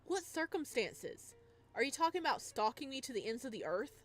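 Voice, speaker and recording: A woman's voice: sits high, very animated, a little fast, soft background music, voice pushed up. A woman voicing an angry, scathing, defiant protagonist on the run in a visual novel. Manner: scathing, indignant. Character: defiant, angry, sharp-tongued fugitive protagonist.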